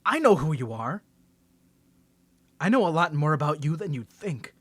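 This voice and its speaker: Man playing a teasing superhero, lively and higher-pitched. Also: normal speed, breathy edge, dry recording, exaggerated voice. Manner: playful, bantering, stammering when flustered. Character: confident, teasing young male superhero, flustered around his crush. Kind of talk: scripted audio roleplay, ASMR channel.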